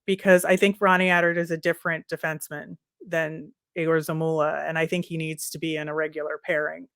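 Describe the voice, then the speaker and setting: A woman's voice: deep voice, little pitch movement, a little fast, fairly bright tone, dry recording, clean studio sound. A woman speaking as a sports podcast co-host, giving conversational commentary.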